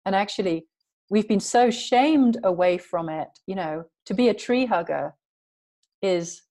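A woman's voice: mid-range voice, unhurried, mellow. A woman guest in an interview, talking earnestly and emphatically in long flowing sentences. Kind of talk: passionate conversational interview.

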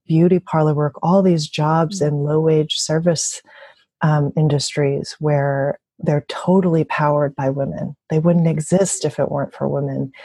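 A woman's voice: deep voice, mellow, husky, no echo. A woman giving thoughtful, reflective answers in a conversational interview, as an activist and organizer. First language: bilingual, also speaks Mandarin.

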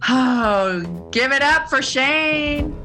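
A woman's voice: high voice, animated, very slow. A woman hosting a live storytelling show, gushing with effusive praise for the last teller. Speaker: host. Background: music.

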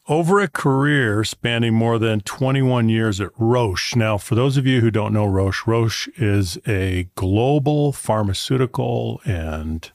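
A man hosting a podcast interview, introducing his guest in a courteous, measured, articulate way. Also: on the low side, creaky, no echo, studio quality. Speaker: podcast host.

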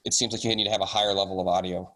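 A man with a low voice chats as a guest on a podcast. His delivery is casual and meandering, and he keeps softening and qualifying his own points. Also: level tone, very fast. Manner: rambling, tentative, self-qualifying.

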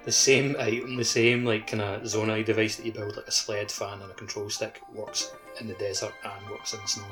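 Casting: male podcast co-host, lowish voice, very even intonation, casual gaming talk. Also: fairly quick, few pauses, over music. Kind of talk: casual podcast chat about a video game.

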